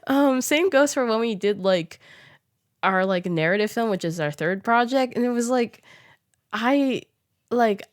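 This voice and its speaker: A woman's voice: on the high side, a little slow, no room sound. Giggly young woman podcast host, dry sound. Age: young adult. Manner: giggly, animated, talks with frequent "like". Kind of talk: casual conversational interview chat.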